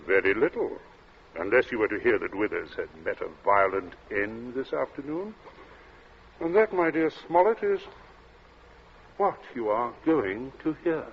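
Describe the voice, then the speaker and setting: An actor playing a cold, urbane captain in an old radio thriller, speaking in a suave, measured way with polite menace and small hesitant asides. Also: male voice, medium pitch, expressive, vocal fry. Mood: smooth, controlled. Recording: dull, noisy vintage radio recording.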